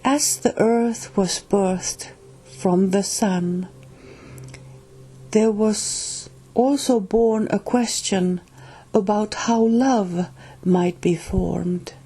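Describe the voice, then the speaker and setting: A woman with a Finnish accent, Finnish being her first language, reading aloud from a spiritual book in a careful, halting way and restarting on hard words. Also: medium pitch, mellow, husky.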